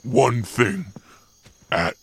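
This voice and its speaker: Here is a gentle, lumbering monster for a radio drama. The voice is heavily rasping and husky, and it crawls along in halting fragments, each short phrase set apart. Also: male voice, medium pitch, very animated, no echo, exaggerated voice. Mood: earnest, halting. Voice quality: gravelly, husky. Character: halting, gentle male monster, Frankenstein's creation learning to speak.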